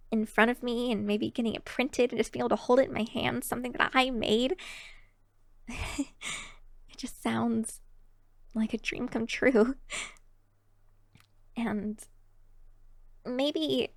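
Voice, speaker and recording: A woman's voice: fairly high pitch, gravelly, dry recording, clean studio sound. A woman voicing a shy, cheery young fox-woman barista in an ASMR roleplay, chatting to the listener in a hesitant, giggly, encouraging way. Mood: shy, hopeful.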